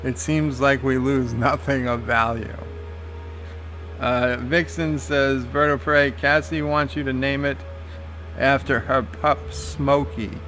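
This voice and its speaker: A man's voice: mid-range voice, steady tone, slow, fairly bright tone, soft background music, poor audio. A man streaming a game, reading viewer comments aloud and answering them casually, with lots of "uh" fillers.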